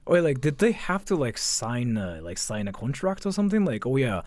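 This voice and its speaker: Very animated, slangy male streamer. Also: mid-range voice, moderate pace, no room sound. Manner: slangy, hesitant, repeats himself with frequent "like". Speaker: streamer reacting to a video. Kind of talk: casual live reaction commentary.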